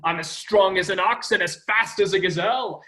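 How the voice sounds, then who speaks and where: A man's voice: sits high, normal speed, pushed and bright. A man playing a vain, dashing hero prince who boasts in a pompous way. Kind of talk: stage play acting.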